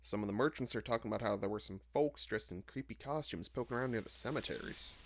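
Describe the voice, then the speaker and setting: A man playing a gossipy townsman trading rumours in an audio drama, hushed and conversational. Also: on the low side, fast, dry recording, lightly acted. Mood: gossiping.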